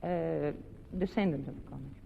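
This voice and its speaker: A woman's voice: low voice, normal speed, creaky, big-room sound, slight background noise. A middle-aged woman with a Scottish accent, a contestant giving brief, polite answers on an old radio quiz. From Scotland. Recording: dull old radio recording.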